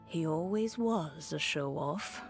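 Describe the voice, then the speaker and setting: An actress playing a composed, wistful woman in a video game, telling an old legend in a measured, reflective way. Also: low voice, expressive, soft background music, exaggerated voice. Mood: fond, wistful. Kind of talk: video game character acting.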